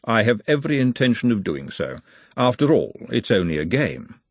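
A man voicing an urbane, calculating city ruler in a storybook, with measured, formal, dryly understated speech. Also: lowish voice, sing-song, slightly rough, no room sound, heavily acted. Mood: wry, unruffled.

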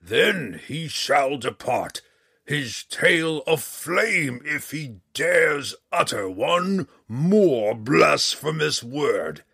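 A man voicing a huge, proud male red dragon who speaks in a slow, booming, menacing way in a storybook reading. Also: big pitch swings, gravelly, pushed and bright, slightly rough, dry recording, clean studio sound, heavily acted. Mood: roaring.

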